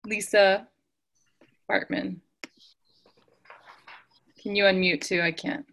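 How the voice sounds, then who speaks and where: Slow, bright-voiced woman hosting a call. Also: mid-range voice, steady tone, gravelly. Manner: calls out names and asks people to unmute. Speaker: meeting host. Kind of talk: calling roll on a video call. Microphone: video call.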